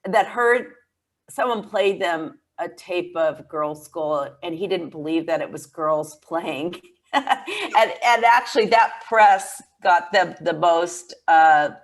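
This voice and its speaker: A woman hosting a podcast, telling anecdotes in a measured way. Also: fairly low pitch, expressive. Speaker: podcast host. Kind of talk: podcast conversation.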